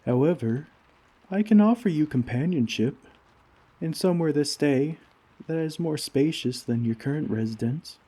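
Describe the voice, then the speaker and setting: A man's voice: fairly high pitch, expressive, normal speed, mellow, breathy edge. A man playing a kindly giant in an ASMR comfort roleplay, speaking formally and tenderly to a tiny friend. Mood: warm, reassuring. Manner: formal, courteous, tender, old-fashioned wording. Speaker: roleplay audio performer.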